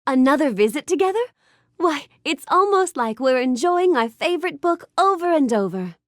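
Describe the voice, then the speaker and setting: A woman playing a sweet, curious, bookish young fairy-tale heroine in a video game, speaking with gentle, courteous wonder. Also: sits high, moderate pace, dry recording, clean studio sound. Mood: cheerful, excited. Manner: gentle, wonder-filled, courteous, expressive delivery. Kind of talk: animated game character dialogue.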